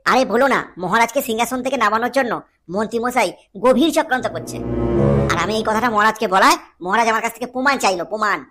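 A woman's voice: fairly high pitch, fast, loud music behind the voice, close to an everyday voice. A woman voicing a loyal, earnest male court jester in a comic cartoon, explaining in a homely way. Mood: dejected, aggrieved.

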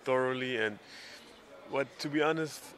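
A man's voice: mid-range voice, moderate pace, a bit bright, slight background noise. A young man from Germany, a student, chatting easily into a handheld mic in an indoor lounge. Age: 20s. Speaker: student interviewee. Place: indoor university lounge booth. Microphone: handheld interviewer mic.

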